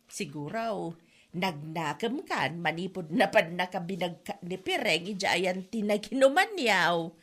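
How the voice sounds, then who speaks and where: A woman's voice: fairly low pitch, animated, measured pace. A woman with an Ilocano accent playing a gossipy, teasing friend in a comedy drama podcast.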